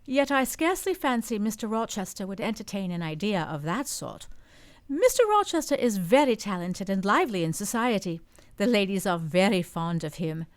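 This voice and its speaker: A woman's voice: mid-range voice, sing-song, moderate pace, lightly acted. A woman playing a kindly, gossipy older housekeeper in a radio play, genteel and motherly.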